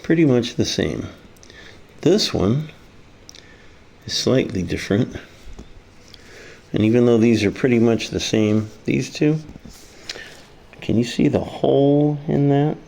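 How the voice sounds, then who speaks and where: A man's voice: medium pitch, lively intonation, takes it slowly, gravelly, pauses often, mellow. A man casually demonstrating a hands-on repair, muttering to himself as he works. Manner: muttering to himself while working. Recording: faint background noise.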